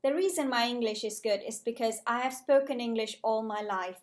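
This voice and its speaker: A woman in her twenties from Malaysia, a junior doctor talking to her viewers in a measured, carefully prepared vlog style. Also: on the high side. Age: 20s. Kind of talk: scripted-sounding casual vlog talk to camera.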